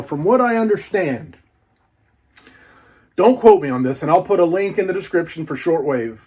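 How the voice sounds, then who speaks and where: A man with a slightly high-pitched voice talks informally as a collector showing off a purchase. His delivery is folksy and rambling, in the casual style of an unboxing video. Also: mellow. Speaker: book collector reviewing an unboxing.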